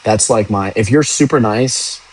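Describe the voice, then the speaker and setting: A man's voice: lowish voice, measured pace, darkish tone. A young man who works as a police officer, chatting casually and frankly on a livestream, rambling and swearing now and then. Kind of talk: casual livestream chat answering viewers.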